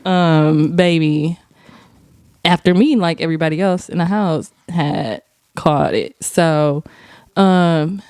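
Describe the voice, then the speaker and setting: Young woman host, lowish clear voice, dry close mic, lively storytelling. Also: slow pace, dry recording. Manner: animated, laughing, profane storytelling. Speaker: podcast host. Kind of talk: casual chat.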